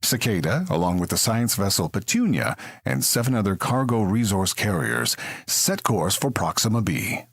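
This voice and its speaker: Man acting as husky, theatrical sci-fi announcer. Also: fairly low pitch, sing-song, moderate pace, a bit bright, dry recording, studio quality, exaggerated voice. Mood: grave, epic. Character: grand sci-fi narrator and slick future advertising announcer. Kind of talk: dramatic scripted narration.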